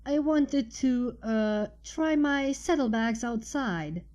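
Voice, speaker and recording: Woman playing a hesitant, slow-talking young filly. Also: fairly high pitch, animated, darkish tone. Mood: awkward, evasive. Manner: hesitant, halting. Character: sly young female pony, awkwardly feigning innocence.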